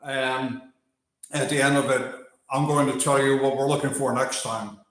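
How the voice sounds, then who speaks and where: An American-accented man talks through a live stream at an easy pace, pausing and filling gaps with "uh" as he answers viewers. His voice sits at a middle pitch, and it bounces around a strongly echoing space. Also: level tone, a bit bright. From Germany. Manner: unhurried, pausing with fillers, answering chat between thoughts. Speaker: wildlife channel host. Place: outdoors or open area while working on a bike. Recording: very echoey space.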